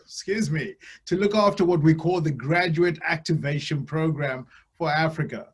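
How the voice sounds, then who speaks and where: A man from Zimbabwe speaking as the guest on a webinar, with an upbeat, energetic manner over a video call. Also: on the high side, normal speed, slightly rough. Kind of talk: webinar presentation.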